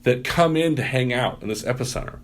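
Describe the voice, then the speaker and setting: A man's voice: medium pitch, fairly quick. A man who works as a pastor, telling stories, acting out what people said. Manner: animated storyteller, loud voice, acts out quotes. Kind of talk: casual podcast storytelling.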